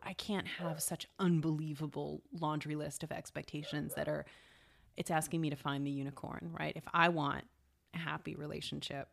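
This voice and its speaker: A man co-hosting a casual talk show, talking in an animated way, often tagging on a rhetorical "right?" and slipping in jokes. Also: a little high, normal speed, creaky. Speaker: podcast co-host. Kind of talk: casual conversational chat.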